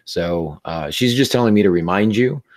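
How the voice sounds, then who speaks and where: Deep voiced guy hosting a writing podcast, hoarse from laryngitis, lots of uhs. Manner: relaxed, halting, many fillers and restarts. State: losing his voice to laryngitis. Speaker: podcast host. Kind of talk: casual podcast chat and teaching.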